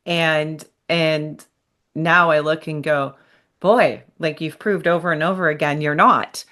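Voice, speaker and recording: Low-voiced woman in her fifties hosting. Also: steady tone, a little slow, a bit bright. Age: 50s. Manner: candid, chatty, self-deprecating. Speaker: podcast host. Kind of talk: conversational interview.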